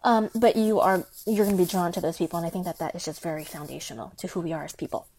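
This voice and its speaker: A woman's voice: fairly low pitch, fast, a little mellow, low-quality recording. A young woman hosting a podcast, talking through her thoughts in a solo monologue, earnest and full of fillers and restarts. Her first language was Thai Chinese. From United States. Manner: earnest, thinking aloud with many fillers and restarts. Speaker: podcast host.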